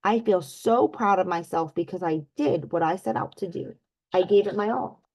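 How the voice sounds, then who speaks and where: A woman voicing a small, timid but determined male piglet in a storybook reading, soft and earnest. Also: lowish voice, moderate pace. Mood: proud.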